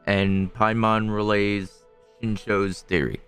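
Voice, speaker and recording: A man chats casually over a video game. His voice is clear and smooth, his remarks offhand and wry. Faint music plays behind him. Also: on the low side, flat delivery, slow, a bit bright. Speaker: streamer playing a video game. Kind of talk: casual gameplay commentary.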